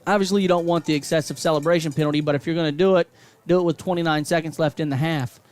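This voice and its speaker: A man calling high school football play-by-play on radio, building to excited calls on big plays. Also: racing, clean tone. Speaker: play-by-play announcer.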